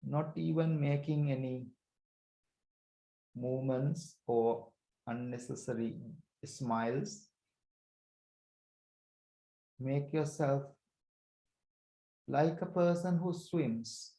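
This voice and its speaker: A man leading a guided breathing meditation as a teacher, speaking calmly and deliberately in short phrases with pauses. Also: mid-range voice. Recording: roomy echo.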